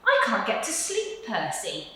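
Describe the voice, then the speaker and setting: Woman doing a high, grumbling little squirrel, echoey. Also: huge pitch swings, a little slow, pushed and bright, far from the mic, exaggerated voice. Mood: plaintive. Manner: plaintive, complaining. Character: cold, cross little squirrel with a sleepless grumble. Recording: very echoey room.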